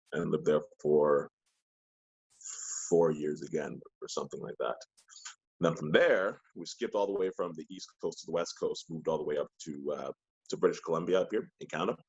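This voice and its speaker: A man born in Ghana tells his story in a relaxed, rambling way on a video call. His voice is very low and husky. The sound is dull and dry, with no room echo. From Ghana, raised in the United States. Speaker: guest. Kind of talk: casual video-call conversation.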